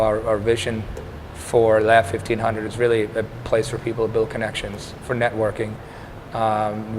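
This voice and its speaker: Brief: Danish-born man in his thirties, low and gravelly voice, even and measured, faint hiss behind. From Denmark. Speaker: startup incubator co-founder. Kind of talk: interview answers to camera. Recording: faint background noise.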